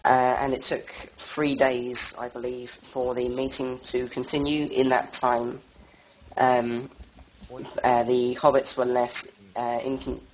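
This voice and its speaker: A young man with a cold leads a lore tour over voice chat, stopping often on uh and um. His voice sits at an ordinary middle pitch. The audio is dull and lo-fi, with a faint hiss underneath. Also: flat delivery. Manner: halting, full of uh and um fillers. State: has a cold. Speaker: tour guide leading a lore tour.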